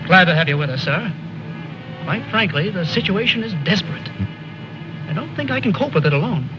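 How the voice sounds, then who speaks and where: Unhinged young soldier, clownish n pleading, high voice swinging all over, old movie. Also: male voice, animated, fairly quick. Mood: mock grave. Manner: theatrical, erratic, pleading. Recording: muffled old film soundtrack, music behind.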